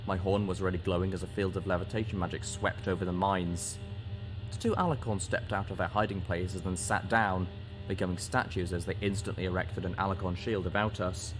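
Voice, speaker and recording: A man reading a fantasy story aloud as dramatic audiobook narration, in a deliberate, expressive storytelling manner. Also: sits low, poor audio. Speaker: story reader.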